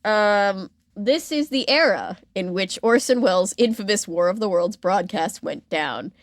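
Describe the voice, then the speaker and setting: A woman podcast host with a middle-pitched voice, recorded dry without room sound. She talks informally, like chatting with a friend, telling stories from pop culture history with wry, joking asides. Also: animated, a little slow, no room sound. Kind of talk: casual chat retelling pop culture history.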